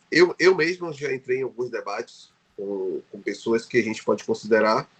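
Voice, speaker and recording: Husky-voiced Brazilian man, halting. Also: mid-range voice. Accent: Brazilian Portuguese. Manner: hesitant, many restarts and fillers. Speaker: guest researcher. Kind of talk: podcast interview.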